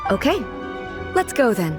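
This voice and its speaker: A woman's voice: high-pitched, very animated, unhurried, over music. A woman voicing a regal, formal and naively curious immortal ruler in a video game. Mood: reassuring. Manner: formal, composed, literal-minded.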